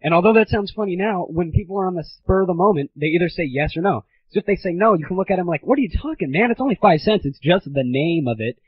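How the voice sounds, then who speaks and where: A man's voice: high voice, animated, quick pace, few pauses, slight background noise. A teenage man hosting a casual comedy monologue on a gaming audio show, irreverent, crude and mock-grandiose, on a lo-fi recording. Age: teens. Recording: dull lo-fi 1990s internet audio.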